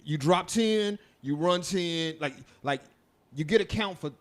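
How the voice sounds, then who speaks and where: A man's voice: high voice, unhurried. Laid-back, swearing podcast co-host man. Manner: laid-back, laughing, swearing, acts out quoted voices. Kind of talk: casual chat, storytelling.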